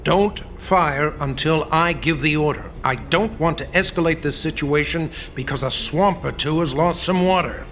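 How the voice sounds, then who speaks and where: A man playing a calm, firm president in a radio drama, speaking in a measured, formal, commanding way. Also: fairly high pitch.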